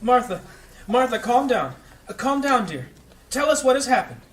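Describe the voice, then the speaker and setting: A man playing a grave, compassionate priest in a fantasy audio drama, with a measured, rhetorical delivery. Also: very high voice, sing-song, breathy edge, exaggerated voice. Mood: soothing, alarmed. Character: grave compassionate priest leading a memorial service. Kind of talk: fantasy audio drama acting, solemn sermon.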